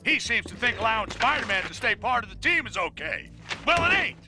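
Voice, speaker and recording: An actor voicing a cranky, opinionated news commentator in a video game, bombastic and sarcastic over music. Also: male voice, sits high, big pitch swings, brisk. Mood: scornful ranting. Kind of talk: dramatic news broadcast commentary.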